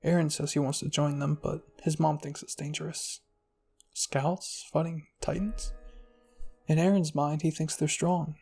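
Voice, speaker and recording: A loyal, caring young girl as put on by a male reader in an anime story roleplay. The voice is soft and questioning, husky with creak, over faint music. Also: a little high, flat delivery, unhurried, full character voice. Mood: curious, caring.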